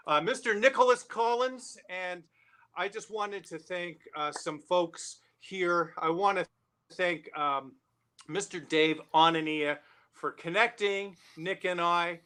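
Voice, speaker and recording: Male show host: bright, higher-pitched voice, excited and effusive live delivery. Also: takes it slowly, clear, resonant tone. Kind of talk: enthusiastic live show hosting and interview.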